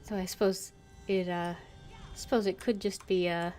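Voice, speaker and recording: A woman's voice: fairly low pitch, fairly even intonation, normal speed, a little mellow, faint music behind. A woman giving casual gameplay commentary as a streamer, musing hesitantly and trailing off as she thinks aloud. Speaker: game streamer.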